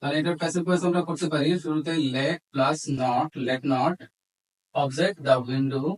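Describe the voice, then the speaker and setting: Male teacher, Bangladeshi accent, slightly high voice, methodical step-by-step explanation with examples dictated word by word. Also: steady tone, unhurried, low-quality recording. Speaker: English grammar teacher. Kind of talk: instructional lesson mixing Bengali explanation with English examples.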